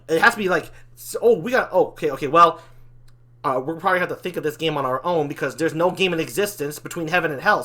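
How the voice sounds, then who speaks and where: A man playing an excitable, panicky, foul-mouthed but chummy demon in an improvised comedy role-play, with theatrical, rambling delivery. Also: a little high. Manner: theatrical, rambling, exclamatory, swearing.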